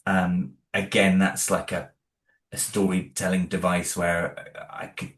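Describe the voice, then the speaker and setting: A man with a very low voice talks casually about his own creative work. He is self-deprecating and hesitant and stumbles over his words. His phrases trail off and restart. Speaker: audio drama creator, interview guest. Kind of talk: casual interview conversation.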